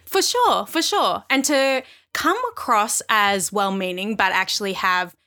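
A woman co-hosting a podcast in casual chat, agreeable and quick to affirm what her co-host says. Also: medium pitch, bright, forceful tone.

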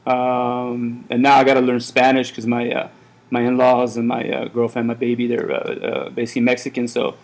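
A man in his thirties talks loosely and at length, circling back with many fillers and false starts. He was born in Iran and runs a café, and this is a relaxed, casual interview. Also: mid-range voice, normal speed. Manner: rambling, many fillers and restarts. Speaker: café owner.

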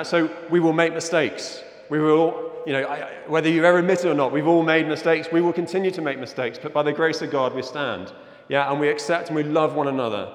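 In a reverberant, echoey hall, a middle-aged man preaches in a fairly high voice. He is from Zimbabwe and speaks as an earnest, conversational church leader, regularly checking that his listeners follow. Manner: earnest, conversational, often seeking agreement with "yeah?". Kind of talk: informal sermon with slides.

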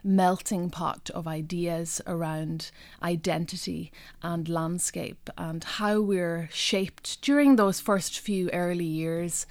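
A woman poet from Donegal in her forties with a Donegal Irish accent, Irish her first language, reading a poem and answering interview questions in a thoughtful, measured way with many pauses. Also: lowish voice, a little mellow, dry recording. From North West Donegal, Ireland. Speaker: poet, guest.

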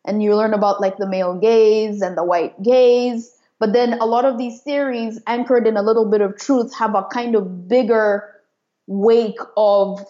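A woman's voice: mid-range voice, steady tone, unhurried, mellow, highs cut off. A woman in her late twenties from East and southern Africa, telling her own story reflectively as a podcast guest, searching for words as she talks. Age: late 20s. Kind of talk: personal storytelling in an interview.

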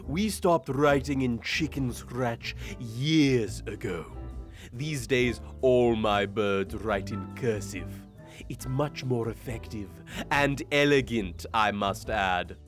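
Man acting slow, pompous chicken crime boss. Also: medium pitch, faint music behind, close to an everyday voice. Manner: drawling, pompous, menacingly courteous. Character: smug, elegant male chicken crime boss. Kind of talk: comic audio drama villain acting.

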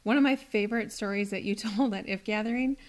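A woman hosting a podcast, interviewing a guest and closing the show, who often laughs softly and affirms what she hears. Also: medium pitch, fast talker. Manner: warm listener, frequent soft laughs and affirmations. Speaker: podcast host. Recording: music playing under the voice.

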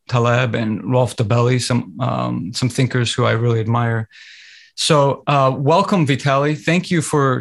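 A man's voice: medium pitch, gravelly. A man hosting a podcast, introducing his guest from a script and then asking questions. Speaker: podcast host.

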